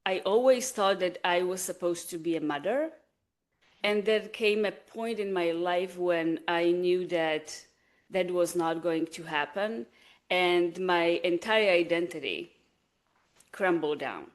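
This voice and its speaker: Earnest woman. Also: fairly low pitch. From Czech Republic. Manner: earnest, measured, with small restarts and repeated words. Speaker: guest, energy healer. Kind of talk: reflective interview conversation.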